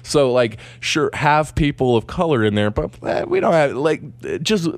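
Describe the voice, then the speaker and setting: A man's voice: a little high, sing-song, normal speed, dry recording. A man in a casual podcast chat, talking in a rambling, sarcastic way, restarting his sentences and filling the gaps with "uh" and "like". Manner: rambling, sarcastic, trails off into fillers.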